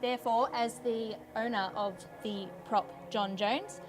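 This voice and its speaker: Actress as pedantic, formal female rights holder, comedy, unruffled, higher register. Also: fairly even intonation, unhurried, music in the background, close to an everyday voice. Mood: officious. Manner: formal, legalistic. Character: officious female copyright owner, pedantic, unruffled. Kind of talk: scripted audio comedy acting.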